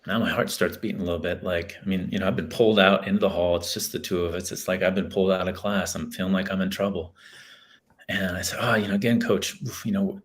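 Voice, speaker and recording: A man, a guest author telling a story in an earnest, reflective way. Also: low-pitched. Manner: earnest, reflective, many restarts. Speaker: guest, author and school leader. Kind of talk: storytelling in a podcast interview.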